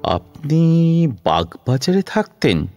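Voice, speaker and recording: Gentle Kolkata doctor, unhurried. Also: male voice, a little high, sing-song, heavily acted. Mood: gentle, curious. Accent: Kolkata Bengali. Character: kind, gentle young male doctor, around thirty. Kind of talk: Bengali audio drama acting. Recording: music behind the voice.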